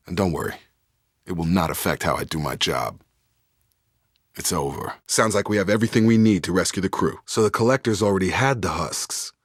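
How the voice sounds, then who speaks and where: A man's voice: low voice, a bit bright, breathy edge, dry recording, clean studio sound, full character voice. A man playing a loyal, guarded young soldier in a sci-fi video game, speaking in an earnest, controlled, matter-of-fact way. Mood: grave, subdued.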